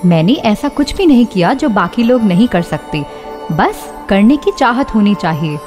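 A woman's voice: medium pitch, lively intonation, normal speed, music in the background, close to an everyday voice. A woman playing a confident blind woman who tells her life story in scripted radio narration. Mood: earnest, confident.

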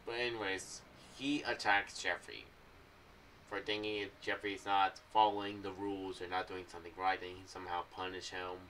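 A man's voice: low-pitched, level tone, moderate pace, sharp tone. A young adult man giving casual, rambling commentary as he shares theories on a series. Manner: rambling, loosely phrased. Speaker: YouTuber reviewing an audio drama series. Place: small home room. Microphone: condenser mic on boom arm with pop filter, slightly off to the side. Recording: slightly distant mic, faint background noise.

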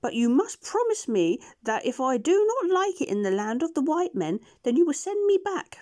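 A woman voicing a shy, polite, timid two-headed male creature, speaking gently and slowly. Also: sits high, very animated, voice pushed up. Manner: gentle, timid, slow and careful.

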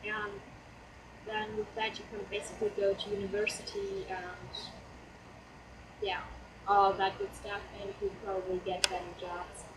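A woman's voice: medium pitch, completely flat delivery, moderate pace, long pauses. A German teenage girl with a German accent and a slight cold, chatting casually and hesitantly over her gameplay. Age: teens. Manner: chatty, hesitant, many fillers. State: hoarse from a cold. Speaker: let's play host. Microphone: laptop mic. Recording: roomy, distant laptop mic with fan noise. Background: laptop fan noise.

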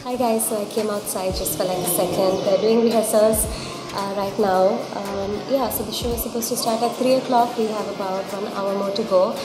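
A woman's voice: mid-range voice, steady tone, fairly quick. A young Sri Lankan woman with a Sri Lankan accent, talking to the camera in a casual, earnest, upbeat vlog. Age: young adult. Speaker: vlogger and TV presenter. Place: indoors in a decorated hall. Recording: echoey room with loud music.

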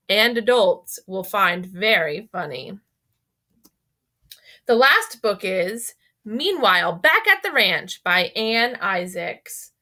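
A woman with a fairly high, very bright voice presents scripted book recommendations for children. Her delivery is expressive and carefully enunciated, in the manner of someone used to reading aloud. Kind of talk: scripted promotional narration of children's book picks.

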